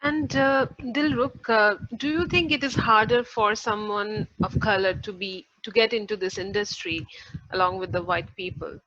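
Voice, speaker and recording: A woman's voice: mid-range voice, moderate pace, fairly bright tone, dry recording. A woman asking questions as a co-interviewer in a hesitant, casual way on dull, muffled audio.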